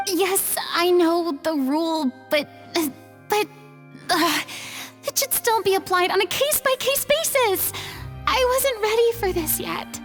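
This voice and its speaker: A woman's voice: very high-pitched, measured pace, a bit bright, long reverb tail, soft background music. A young woman acting as a flustered, hesitant former stage star in a video game. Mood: reluctant, flustered.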